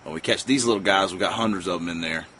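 Man in his forties, very low voice, folksy and plain-spoken, filmed outdoors with faint background hiss. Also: quick pace, sharp tone. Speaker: fishing vlogger. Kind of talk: casual how-to vlog. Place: outdoor deck by trees. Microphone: camera a few feet away.

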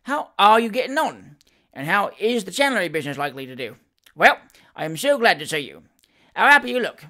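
A man's voice: sits high, expressive, brisk, gravelly, a bit bright, heavily acted. A man voicing a gleeful, teasing young manservant with jovial, mock-friendly chatter in a storybook reading.

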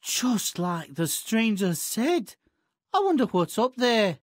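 A woman's voice: a little high, big pitch swings, slow, no echo, full character voice. A woman voicing a lazy, cheeky young peasant boy in a fairy-tale video game, with a nonchalant manner. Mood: eager. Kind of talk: video game character acting.

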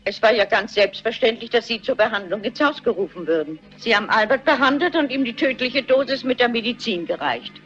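A woman's voice: on the high side, animated, brisk, hardly any pauses, fairly bright tone. An actress playing a shrewd, composed elderly lady detective in a radio drama, speaking politely and precisely. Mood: calmly accusing. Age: older. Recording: dull lo-fi audio, music behind.

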